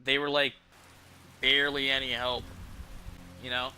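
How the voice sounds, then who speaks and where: A man's voice: medium pitch, flat delivery, unhurried, pushed and bright, clear, resonant tone, rough recording. A young American man giving casual gameplay commentary as a streamer, rambling with slang and swearing over game music.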